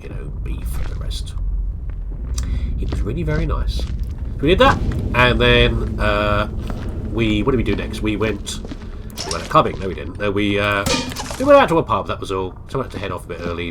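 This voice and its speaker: A man's voice: on the low side, noisy background. Congested man rambling over gameplay. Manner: chatty, meandering, self-deprecating. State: congested, bunged-up nose. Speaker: let's play commentator. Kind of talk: rambling casual gameplay commentary. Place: home office.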